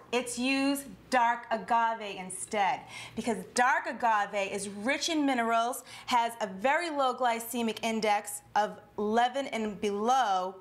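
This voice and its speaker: A woman's voice: fairly high pitch, slight background noise. A woman in her thirties hosting a TV cooking show in a studio kitchen, explaining each step with upbeat rhetorical questions. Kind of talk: scripted TV cooking demonstration. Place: home-style studio kitchen, indoors.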